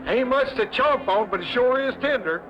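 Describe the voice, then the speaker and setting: A man's voice: very high voice, expressive, normal speed. An actor playing an uncouth, hearty mountain man with a rural American frontier accent in a western drama. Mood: hearty, folksy. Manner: rustic, hearty, blunt. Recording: dull old soundtrack, music behind.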